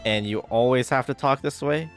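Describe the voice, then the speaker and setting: A woman's voice: very deep voice, moderate pace, soft background music. A woman giving casual, wry gameplay commentary, reacting in a laid-back way as she plays. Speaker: gaming video creator playing and reacting.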